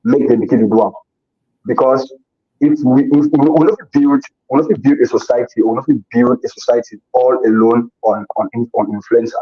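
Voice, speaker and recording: A man talks earnestly as an interview guest, often circling back over his own words while he thinks. His voice is husky and sits at a middle pitch. The recording sounds dull and lo-fi. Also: steady tone, normal speed. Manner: earnest, repeats himself while searching for words. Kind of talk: casual conversational interview.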